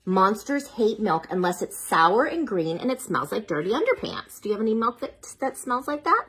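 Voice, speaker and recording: A woman reading picture books aloud to young children, playful and dramatic, pausing for chatty asides and questions to the listener. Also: medium pitch, big pitch swings, a bit bright, low-quality recording.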